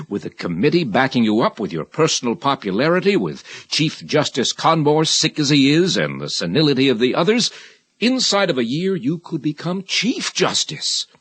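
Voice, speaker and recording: An actor playing an eager, persuasive political aide in a radio drama, insistent and familiar in manner. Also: male voice, mid-range voice, fairly bright tone, breathy edge. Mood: urgent. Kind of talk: 1980 radio drama acting.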